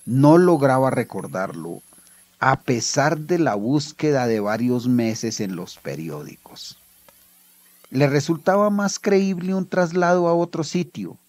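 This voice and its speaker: A man with a Colombian accent reads literary fiction as its author. His pitch sits in a medium male range. The recording is dry, with very little room sound. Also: darkish tone, no echo. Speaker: writer reading his own short story. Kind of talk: literary story reading.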